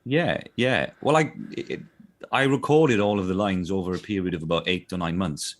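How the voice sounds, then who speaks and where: A man's voice: low-pitched, moderate pace. A man with a South Welsh accent, a voice actor chatting as an interview guest, full of restarts and "do you know what I mean". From South Wales. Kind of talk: casual interview chat.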